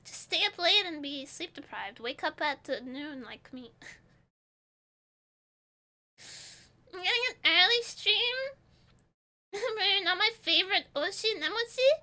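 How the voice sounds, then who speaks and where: A woman from Portugal, chatting with her stream audience in a loose, rambling way and breaking into giggles often. Her voice sits high and swoops up and down a great deal. It has a bright, sharp edge to it. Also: animated, slow pace, pauses often. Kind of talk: casual chat reading live chat.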